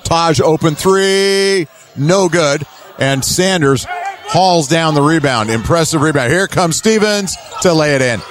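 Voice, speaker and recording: A man doing live basketball play-by-play in clipped running phrases, with emphatic calls. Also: fairly high pitch. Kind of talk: live sports commentary. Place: courtside at an indoor basketball game.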